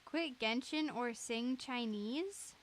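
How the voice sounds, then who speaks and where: A woman's voice: on the high side, takes it slowly, a little hiss or hum. A woman chatting casually on a game livestream in a giggly way, reading out chat as she goes. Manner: giggly, reads chat aloud. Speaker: game streamer.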